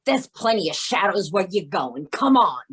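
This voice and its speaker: A woman's voice: mid-range voice, very animated, normal speed, breathy edge. A woman playing a tough, mocking prison guard in an old-time radio drama.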